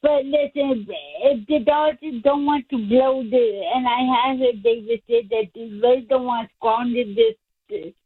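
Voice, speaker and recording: A woman's voice: fairly high pitch, unhurried, husky, no room sound. A woman calling into a sports radio show, frustrated and hesitant, heard over a phone line. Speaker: caller.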